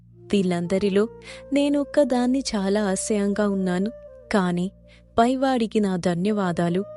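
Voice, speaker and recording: A woman plays a young princess from a cartoon moral tale. She is gentle, humbled and kind, living in hiding. Her voice sits at a middling pitch, with music underneath. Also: lightly acted. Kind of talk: cartoon moral story dialogue.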